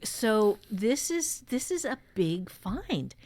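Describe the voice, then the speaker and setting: A woman's voice: medium pitch, sing-song, takes it slowly, a little mellow. A woman teaching and co-hosting a conversational workshop, explaining things emphatically and repeating key points. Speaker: fiction writing teacher and co-host.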